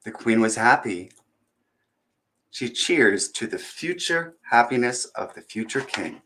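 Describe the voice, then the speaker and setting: A man hosting a children's storytime, chatting to viewers and reading aloud in an expressive, theatrical way. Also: mid-range voice, unhurried. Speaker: children's storytime host and reader. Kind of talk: chatty introduction and animated picture-book reading aloud.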